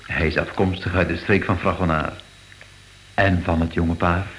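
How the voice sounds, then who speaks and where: An actor playing a courteous, practical gallery director in a radio drama, measured. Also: male voice, sits low, flat delivery, background noise, lightly acted. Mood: courteous, informative.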